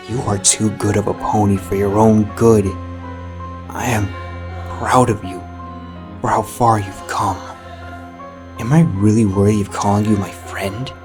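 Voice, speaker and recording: A man narrating fan fiction in the first person as an anguished, guilt-ridden living book, measured, halting and melodramatic. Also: lowish voice, close to an everyday voice.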